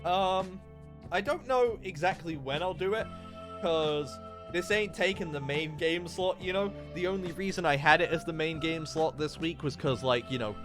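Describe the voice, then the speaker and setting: A young man streaming a game, chatting casually in a rambling way with lots of fillers and restarts. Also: a little high. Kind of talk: casual livestream chat while gaming. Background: game music.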